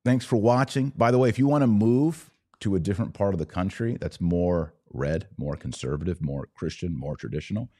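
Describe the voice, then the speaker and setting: A man's voice: sits low, a little fast, few pauses, warm tone. A man hosting a podcast, giving conversational religious and political commentary in an emphatic, opinionated way with short declarative statements.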